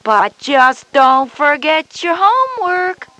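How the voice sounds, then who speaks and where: A woman's voice: high voice, very animated, slow pace, pushed and bright, exaggerated voice. Chatty schoolgirl big sister played by a woman. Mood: reassuring, knowing. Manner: knowing, reassuring, chatty. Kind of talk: scripted children's educational audio drama.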